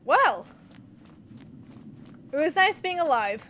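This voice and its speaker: A woman's voice: high-pitched, sing-song, takes it slowly, fairly bright tone, slight background noise. A woman giving casual reaction commentary as she plays through a video game. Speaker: gameplay streamer.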